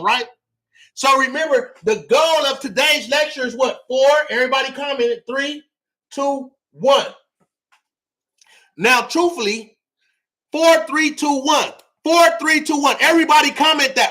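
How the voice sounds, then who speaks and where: A man giving a fired-up livestream lecture, loud and often yelling, repeating phrases and asking the audience to answer, his voice strained from shouting. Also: extremely high pitch. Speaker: livestream real estate teacher.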